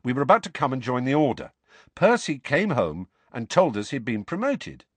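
A man's voice: mid-range voice, big pitch swings, normal speed, husky, dry recording, pitched above the performer's own voice. A man voicing a blunt, grumbling teenage boy, keen to explain, in an audiobook. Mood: confiding.

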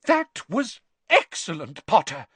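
A man voicing a stern, older female professor who speaks in clipped, brisk commands. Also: high voice, very animated, a bit bright, breathy edge, no room sound, pitched above the performer's own voice, heavily acted.

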